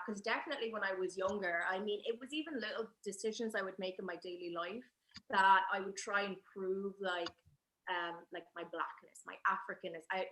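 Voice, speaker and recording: A young woman with an Irish accent speaks in a bright, forceful tone, talking openly and expressively. She is on a video call from her living room, and the room gives her voice a noticeable echo. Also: mid-range voice. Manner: expressive, chatty, laughs easily. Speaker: poet and guest. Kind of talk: spoken word poetry and conversation.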